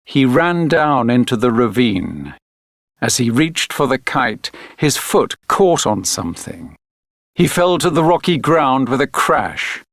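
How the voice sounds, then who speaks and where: A man reading a children's read-along story as a narrator, deliberate and expressive. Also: mid-range voice, dry recording, studio quality. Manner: deliberate, clearly phrased, expressive reading for learners. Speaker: textbook audio narrator.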